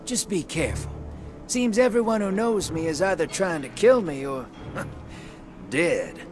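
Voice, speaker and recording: A grizzled rogue gunslinger from a sci-fi game, drawling and sardonic. His pitch swings wide and lively through every taunt. Game music plays clearly behind him. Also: unhurried. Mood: wry. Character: sly grizzled male gunslinger smuggler, wry and cocky. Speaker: game character. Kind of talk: video game cutscene dialogue.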